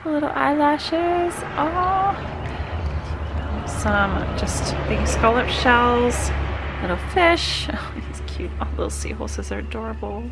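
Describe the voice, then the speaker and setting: A woman's voice: on the high side, lively intonation, slow pace, creaky, over music, low-quality recording. A woman, chatting through a stationery unboxing, slightly nasal from a cold and cooing over small items. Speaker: vlogger unboxing a stationery subscription box. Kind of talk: casual unboxing chat.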